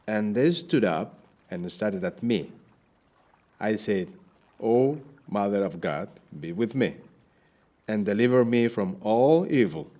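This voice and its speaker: A man's voice: on the low side, lively intonation, slow pace, pauses often, mellow. An actor playing a weary, devout shipwrecked Spanish captain in an audio play, telling his story formally and dramatically. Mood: earnest, devout. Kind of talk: audio play monologue and dialogue.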